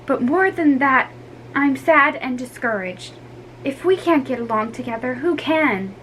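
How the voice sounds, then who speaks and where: A woman voicing a stern, disappointed mother who scolds in measured words, in a storybook reading. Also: high-pitched, expressive, a bit bright, slight background noise, voice pushed up, rough recording.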